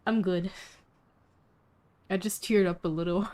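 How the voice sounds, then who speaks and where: A woman game streamer chatting casually on a live stream, halting and rambling with half-finished sentences. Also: medium pitch, a little mellow.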